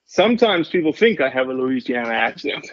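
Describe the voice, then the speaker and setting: A man's voice: medium pitch, sing-song, a little fast, dull audio. A man in his forties from South Africa with a South African accent, a podcast guest talking casually and humbly, with many restarts. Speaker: guest, wildlife scientist.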